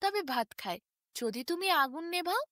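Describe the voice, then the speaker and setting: Woman as a high-pitched, indignant little tailorbird. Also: lively intonation, normal speed, a bit bright, no room sound, clean studio sound. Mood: bargaining. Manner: sing-song, repetitive, wheedling. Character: small indignant pleading tailorbird, quick to anger.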